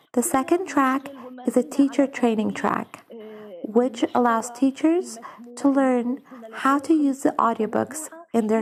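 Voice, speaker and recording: Measured woman with vocal fry. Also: fairly high pitch. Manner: measured, formal, reads like prepared answers. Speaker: guest, social entrepreneur. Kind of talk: podcast interview answers.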